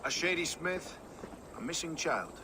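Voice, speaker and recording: A man playing a steady, wry Viking ally in a video game cutscene, calm and understated. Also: fairly high pitch, a little slow, background noise. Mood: calm, reassuring.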